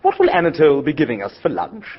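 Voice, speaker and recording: An actor playing a jaunty, easily flustered young gentleman who narrates and talks in a radio drama. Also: fairly high pitch, fast. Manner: jaunty, animated, breathy. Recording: dull lo-fi audio.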